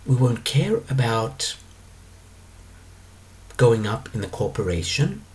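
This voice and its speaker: Rich: A man lectures on his spiritual ideas in a solo monologue, calm and explanatory. His pitch rises and falls in a lively way, and he lingers on words before moving on. He sits at a middle pitch for a man. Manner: calm, explanatory, with drawn-out pauses. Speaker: spiritual teacher answering questions.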